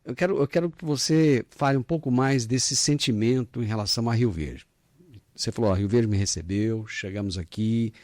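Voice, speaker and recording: Man, podcast host, friendly and chatty, mid pitch, dry room. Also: moderate pace, a little mellow, dry recording. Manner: friendly, chatty, says "cara" often. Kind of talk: casual interview conversation.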